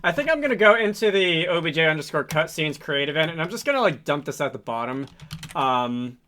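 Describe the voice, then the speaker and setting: A man with a fairly high, bright and crisp-edged voice talks through a programming tutorial. He speaks casually and thinks aloud, pausing and hesitating as he works things out. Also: very animated, a little hiss or hum. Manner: halting, improvised delivery with frequent hesitations. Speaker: tutorial host, game developer.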